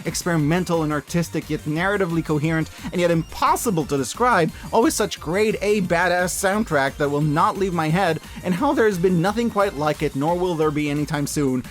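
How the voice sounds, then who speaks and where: Animated higher-voiced man reviewing with gusto. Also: fairly quick, few pauses, faint music behind. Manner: enthusiastic, emphatic, casual and profane. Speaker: host of a media review channel. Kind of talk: scripted review commentary.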